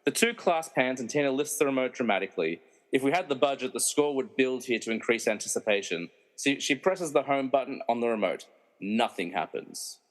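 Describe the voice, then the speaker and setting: A man's voice: mid-range voice, animated, brisk, natural voice. A man playing a wry narrator in a comedy radio play, reading dramatic narration with mocking asides. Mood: storytelling. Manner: wry, theatrical. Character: wry, meddling movie narrator who mocks the characters. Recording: reverberant hall-like echo.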